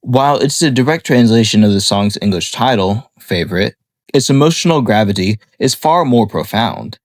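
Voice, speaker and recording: A man reading a scripted analysis of a song in a measured, explanatory way. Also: on the low side, no room sound, studio quality. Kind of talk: scripted narration.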